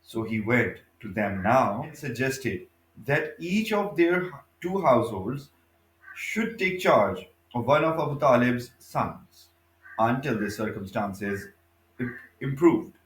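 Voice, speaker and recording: A man reading a biography aloud as scripted narration, in a halting way, often stumbling and correcting himself. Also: medium pitch, lively intonation. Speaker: audiobook reader. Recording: echoey, reverberant room.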